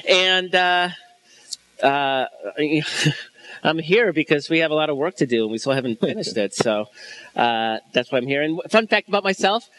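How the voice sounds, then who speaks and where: A man's voice: fairly high pitch, animated, moderate pace, a bit bright, rough recording. Dry, self-deprecating man on a panel. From suburban Chicago.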